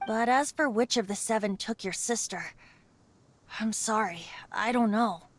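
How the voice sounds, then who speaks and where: Woman voicing a light, high-pitched boyish bard. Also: a little slow, a little hiss or hum, lightly acted. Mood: serious, wistful. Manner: lilting, theatrical, speaks in rhyme. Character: breezy youthful male bard, secretly a wistful god. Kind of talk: video game voice acting. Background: game music.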